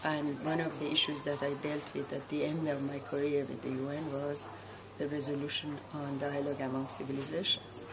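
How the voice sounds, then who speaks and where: Measured woman from Iran in interview. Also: low voice, flat delivery, noisy background. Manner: measured, deliberate, with frequent pauses and fillers. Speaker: guest, association president. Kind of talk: formal interview answers.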